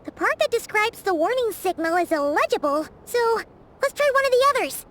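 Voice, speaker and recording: A woman voicing a small, excitable fairy companion in a video game, with a squeaky childlike voice. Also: fairly quick, full character voice. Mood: thoughtful.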